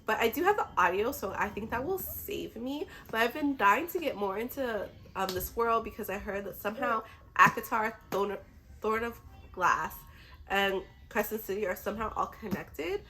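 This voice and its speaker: A woman's voice: fairly high pitch, moderate pace, bright, forceful tone. Chatty woman, casual book vlogger, slightly sick. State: a little sick. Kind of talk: casual chat to camera.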